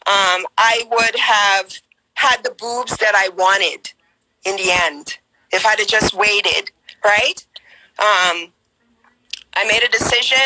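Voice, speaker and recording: Guyanese woman, forties, caller on a live stream; bright, slightly high voice, earnest and halting delivery. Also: low-quality recording. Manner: earnest, hesitant, many ums and restarts. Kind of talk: casual personal testimony.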